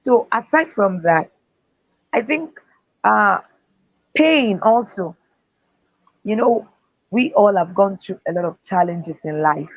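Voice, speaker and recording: Earnest woman talking about faith and purpose, mid pitch, sound kinda dull and dry. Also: expressive, takes it slowly, pauses often, dry recording. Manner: earnest, faith-driven motivational talk. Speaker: guest speaker and author. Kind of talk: interview answers.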